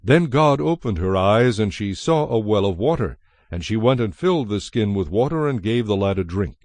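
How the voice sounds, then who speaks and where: A man giving a measured, solemn narration of scripture as the narrator of a dramatized production. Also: fairly low pitch, lively intonation, no room sound. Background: soft music.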